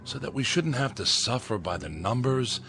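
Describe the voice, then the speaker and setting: A man's voice: mid-range voice, lively intonation, normal speed, gravelly, pushed and bright, slight background noise. An actor playing a defiant, bitter young man of a space colony in a radio drama, speaking in an impassioned, declamatory way. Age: young adult.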